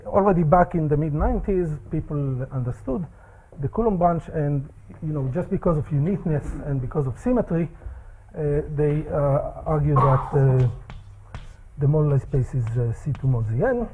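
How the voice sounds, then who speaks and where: A man giving a technical seminar lecture in a seminar room, with a soft voice, halting speech and many fillers. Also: fairly high pitch, phone-quality sound, noisy background.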